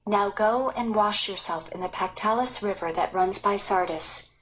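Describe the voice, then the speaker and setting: A woman voicing a merry male god of legend who gently chides and forgives, in a storybook reading. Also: mid-range voice, moderate pace, natural voice, low-quality recording. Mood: stern, forgiving. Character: merry male god, kindly but disappointed.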